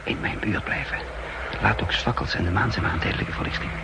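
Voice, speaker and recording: An actor playing a tense squad leader who gives terse orders and narrates a night raid in a 1960s radio drama. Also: male voice, fairly low pitch, quick pace, close to an everyday voice. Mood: brisk, commanding. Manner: terse, urgent orders and hushed suspenseful narration. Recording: noisy old 1960s radio recording.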